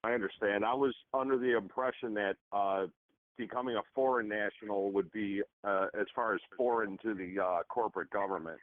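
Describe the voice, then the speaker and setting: A man's voice: lowish voice, fairly even intonation, fairly quick, no room sound. A man politely and agreeably asking questions as a participant on a conference call. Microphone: telephone. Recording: dry, narrow phone conference line.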